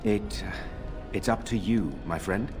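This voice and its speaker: A man voicing a formal, deep-voiced noble lord. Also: darkish tone, lightly acted. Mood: measured. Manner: measured, formal, deliberate. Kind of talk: video game dialogue. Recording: clear game music behind.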